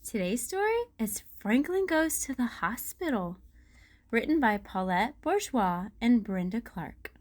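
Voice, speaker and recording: Woman reading a picture book to kids, slow and a bit high, close dry sound. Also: big pitch swings, dry recording. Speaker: children's read-aloud host. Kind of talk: storybook narration for children.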